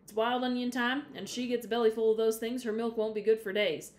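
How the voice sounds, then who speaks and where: A woman's voice: on the high side, fairly even intonation, brisk, lightly acted. A woman reading aloud, voicing a grouchy farmer father who speaks in a disgusted, firm way. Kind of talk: storybook read-aloud.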